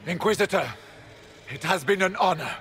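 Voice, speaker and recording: An actor playing a noble, self-sacrificing veteran warrior in a fantasy video game. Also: male voice, high-pitched, moderate pace, a bit bright, soft background music. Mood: grave, valiant. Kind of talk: heroic video game acting.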